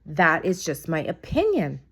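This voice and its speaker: A woman with a raspy voice from being sick, chatting casually to camera in a rambling, opinionated gossip vlog. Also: low-pitched, wildly swooping pitch, unhurried. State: sick, raspy from a cold, coughing. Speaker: vlogger.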